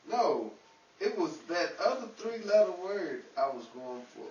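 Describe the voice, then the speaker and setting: A man playing a smooth, forward ladies' man in an old-time radio drama, suave and suggestive. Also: sits high, sing-song, unhurried, a little mellow, muffled recording. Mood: suave flirting. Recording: roomy, distant mic, faint noise.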